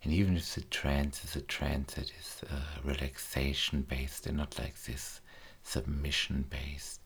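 A man speaking as a hypnotist in a trance recording, slow and soft-spoken, often sinking into whispers with coaxing, repetitive phrasing. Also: a bass voice, flat delivery, slightly rough.

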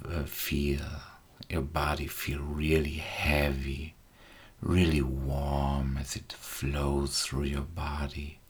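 Deep, breathy whispering male hypnotist. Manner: slow, soothing, repetitive suggestions. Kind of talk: ASMR hypnosis.

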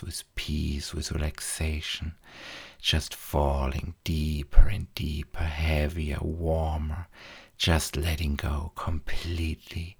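A man guiding a hypnosis induction in a soft, repetitive way, often dropping to a whisper. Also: a bass voice, creaky, breathy edge.

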